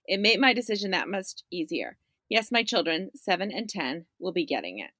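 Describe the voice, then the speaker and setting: A woman's voice: fairly low pitch, sing-song, a little fast, pushed and bright, no room sound, clean studio sound. A woman journalist talking casually as an interview guest on a podcast.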